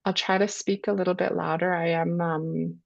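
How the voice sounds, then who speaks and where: Woman, webinar host, low voice, slight cold, dull lo-fi video call sound. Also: level tone, creaky. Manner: measured, with frequent ums. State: has a cold. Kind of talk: introducing speakers on a webinar.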